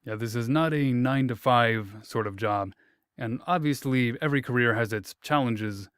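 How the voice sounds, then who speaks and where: A man hosts a relaxed interview with a smooth, resonant voice. He talks in a thoughtful, rambling way, repeats himself often, and pokes fun at himself. The sound is close and dry. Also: mid-range voice, normal speed, dry recording. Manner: thoughtful, wordy, repeats himself, light self-mocking jokes. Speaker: interviewer, host. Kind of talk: casual interview chat.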